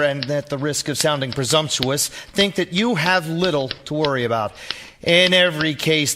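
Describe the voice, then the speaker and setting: An actor playing a formal, presumptuous Victorian doctor in a radio drama, reading out a letter with declamatory assurance. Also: male voice, fairly high pitch, lightly acted. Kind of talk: theatrical radio drama acting. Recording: noisy live theater recording.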